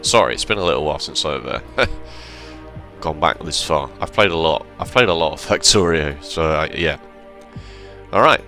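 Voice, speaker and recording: A man giving relaxed, rambling commentary over his gameplay, sounding slightly hoarse and correcting himself as he goes. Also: a bass voice, normal speed, faint music behind. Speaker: let's play host.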